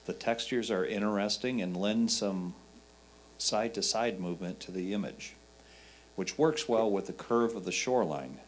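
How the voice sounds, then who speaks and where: A man with a fairly low voice reads written photo critique aloud, acting as the reader for a judge. A faint hum and light noise sit behind him. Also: normal speed.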